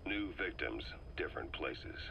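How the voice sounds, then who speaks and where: A man playing a gruff, probing middle-aged military man in a video game, speaking in a terse, blunt, world-weary way. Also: a bass voice, lively intonation, measured pace, muffled recording, a little hiss or hum, full character voice. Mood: probing, sober. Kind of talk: dramatic video game dialogue.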